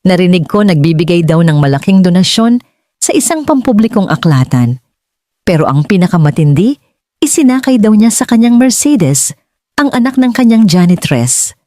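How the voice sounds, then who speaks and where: A wealthy, gossip-loving Filipino socialite as a woman narrator reads the part in an audiobook. The delivery swings expressively in pitch over a mellow tone, recorded dry and close. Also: very animated, normal speed, dry recording. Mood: scandalised gossip. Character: gossiping wealthy Manila country-club socialite. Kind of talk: audiobook dialogue.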